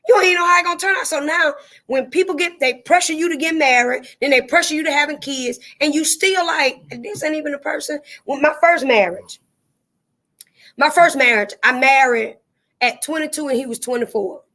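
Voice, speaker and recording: A woman's voice: sits high, fairly quick, a bit bright. A woman speaking candidly and emphatically, with a preachy, repetitive cadence. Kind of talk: candid testimony-style chat.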